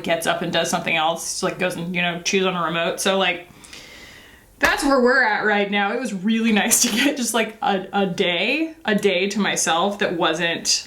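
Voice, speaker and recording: A woman's voice: medium pitch, fairly bright tone. Chatty woman vlogger in an echoey room. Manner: rambling, self-deprecating, lots of fillers. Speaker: beauty vlogger. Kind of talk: casual chatty makeup review.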